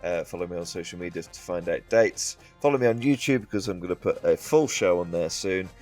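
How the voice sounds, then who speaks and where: Male podcast guest and comedian, low register, informal chat over faint music. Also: brisk, a little mellow. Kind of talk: casual chat.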